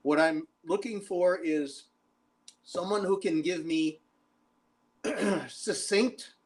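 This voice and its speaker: A man's voice: high voice, slow. A man introducing himself at a workshop, with wry, dry humor. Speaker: workshop participant. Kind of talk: self-introduction in a meeting.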